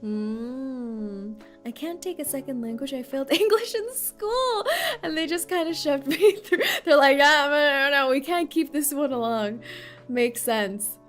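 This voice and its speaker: A woman's voice: high voice, a little slow, faint music behind. A woman streaming a game, chatting playfully and giggling as she reacts to the story. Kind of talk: casual gameplay commentary.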